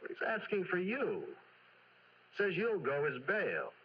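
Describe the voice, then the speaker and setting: A man's voice: high-pitched, huge pitch swings, takes it slowly, husky, full character voice. An American man acting a blunt, suspicious young district attorney in an old-time radio drama, plain-spoken and probing. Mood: suspicious, warning. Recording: dull vintage radio recording.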